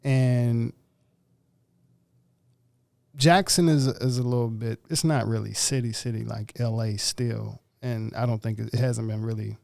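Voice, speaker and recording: A man from Mississippi talks in a relaxed conversation, pausing often to find his words. His voice has a gravelly edge. The recording is dry and close. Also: medium pitch, no echo. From Mississippi, USA. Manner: halting, searching for words. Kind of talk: casual chat.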